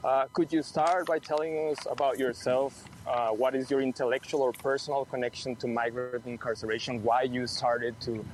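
A man's voice: medium pitch, level tone, moderate pace, few pauses, soft background music, poor audio. A man from Mexico hosting a live radio broadcast from outdoors, speaking thoughtfully with frequent restarts. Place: outdoors near a detention center, street traffic.

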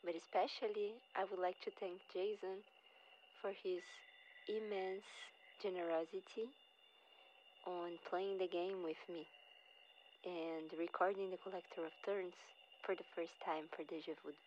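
A woman from Brazil with a Brazilian Portuguese accent, introducing her radio art show in a soft, earnest way. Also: fairly low pitch, level tone, measured pace. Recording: dull, lo-fi, faint hiss.

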